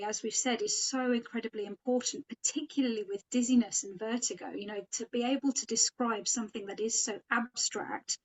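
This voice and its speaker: A woman's voice: on the high side, darkish tone, dull audio. A woman from Sri Lanka, a headache specialist, talking thoughtfully in a podcast discussion with frequent fillers. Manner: thoughtful, many fillers like "you know".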